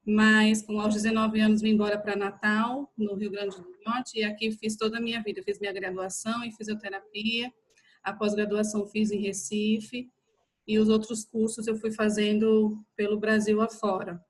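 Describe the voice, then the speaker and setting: A woman's voice: mid-range voice, level tone, normal speed. A Brazilian woman in her thirties giving an informal online lecture, talking to her listeners in a familiar, conversational way. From São Paulo state, Brazil. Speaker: physiotherapist teaching an online class.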